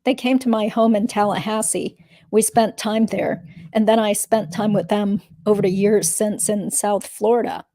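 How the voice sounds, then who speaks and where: A woman's voice: mid-range voice, fairly even intonation, normal speed, dark tone, no room sound, close to an everyday voice. A woman reading the part of a guarded witness testifying in a legal deposition. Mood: guarded, matter-of-fact.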